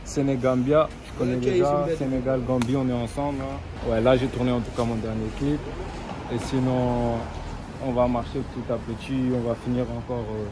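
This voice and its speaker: A young man talks casually on a busy city street, his voice mid-range and easy. He drops slang and filler tags constantly. Loud traffic and city noise roar behind him. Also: medium pitch, normal speed. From Germany. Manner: relaxed slangy talk with frequent tags. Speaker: rapper.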